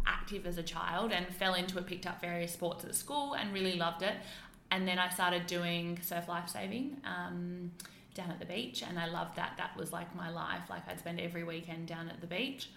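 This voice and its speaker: A woman's voice: fairly low pitch, gravelly, bright, forceful tone, roomy sound, a little hiss or hum. A young woman from the UK with a mixed British and Australian accent, chatting openly as a podcast guest with frequent fillers and laughs. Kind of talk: casual interview chat.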